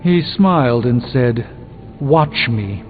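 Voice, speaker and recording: Weary male wizard mentor, measured and thoughtful, game dialogue with long reverb over music. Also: medium pitch, expressive. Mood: troubled, resigned. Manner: measured, eloquent, reflective. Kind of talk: video game character dialogue. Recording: muffled phone-like band, long reverb, music behind.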